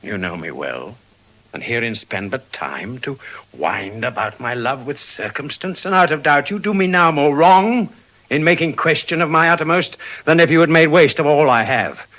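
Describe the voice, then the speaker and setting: An actor with a British accent playing a devoted, generous Venetian merchant in firm, declamatory classical Shakespearean style. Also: male voice, a little high, lively intonation, normal speed, fairly bright tone. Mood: insistent.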